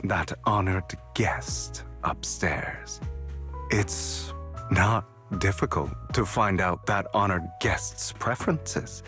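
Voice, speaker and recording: An actor voicing a suave, sly gentleman rogue in a video game, speaking with polished, teasing courtesy and coy pauses. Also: sits low, exaggerated voice. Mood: sly, knowing. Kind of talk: video game character dialogue. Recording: music under the voice.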